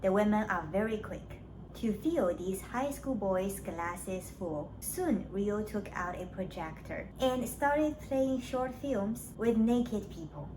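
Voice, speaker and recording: A woman's voice: mid-range voice, slow pace. A woman narrating a scripted summary of a story, as a book reviewer does. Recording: clearly noisy background.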